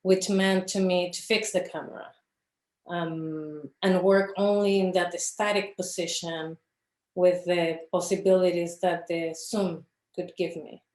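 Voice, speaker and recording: A woman's voice: on the low side, fairly even intonation, measured pace, darkish tone, reverberant. A woman from Chile, an artist speaking in an interview who searches for her words with many fillers and restarts. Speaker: filmmaker, interview guest. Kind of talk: reflective conversation about her films.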